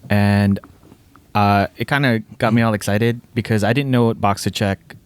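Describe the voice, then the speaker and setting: A man on a podcast panel, talking casually and quickly, with "uh" fillers. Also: on the low side, fairly even intonation, clean tone. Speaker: podcast guest.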